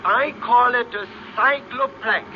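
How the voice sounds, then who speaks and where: A noisy, muffled vintage radio recording of an earnest male professor. His voice is high for a man, with the hesitant, excitable delivery of a serial scientist. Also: slow pace. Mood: excited wonder. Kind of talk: 1950s radio adventure serial acting.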